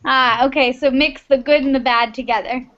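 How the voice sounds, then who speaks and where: Friendly woman teacher with a high voice, coaxing students along on a dull video-call line. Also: fairly even intonation, normal speed, fairly bright tone. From Texas, United States. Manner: friendly, patient, encouraging. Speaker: English teacher. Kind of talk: online class small talk. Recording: muffled video-call audio.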